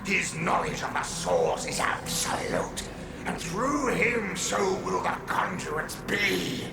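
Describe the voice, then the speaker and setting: Undead male cultist: very creaky, theatrical, reverent fanatic, echoing over loud game music. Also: sits high, wildly swooping pitch, exaggerated voice. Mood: fervent, menacing. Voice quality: gravelly, breathy whispers. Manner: theatrical, savoring, slow delivery. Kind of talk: dramatic video game monologue.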